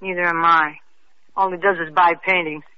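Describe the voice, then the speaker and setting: An actress playing a tipsy, bitter young wife in an old radio drama, with a slangy, sardonic, rambling way of talking. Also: female voice, lowish voice, fairly even intonation, moderate pace, close to an everyday voice. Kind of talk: old-time radio acting. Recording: muffled old radio recording.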